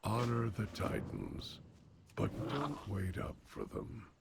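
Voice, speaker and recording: A male actor playing a solemn, gruff fantasy game character with a gravelly, measured voice. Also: on the low side, wildly swooping pitch, noisy background, heavily acted.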